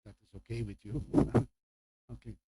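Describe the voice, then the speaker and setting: Older Flemish man with a very dark voice. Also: medium pitch, flat delivery, a little hiss or hum. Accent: Flemish Belgian. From Belgium. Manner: halting, with repeated words and asides. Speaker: guest lecturer, medical professor. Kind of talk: informal lecture introduction to an audience.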